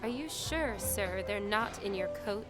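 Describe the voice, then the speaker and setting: A woman's voice: mid-range voice, animated, measured pace, fairly bright tone, faint music behind. A woman playing a young, unflappable hat check girl in a radio drama, polite, dry and firm. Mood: cool, defiant.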